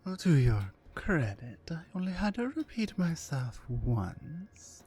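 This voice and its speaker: A woman playing a towering, mean, dominant orc captor in an ASMR roleplay, commanding and deliberate. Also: low-pitched, sing-song, mellow, faint music behind, heavily acted. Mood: scornful, threatening. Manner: menacing, commanding, slow and deliberate.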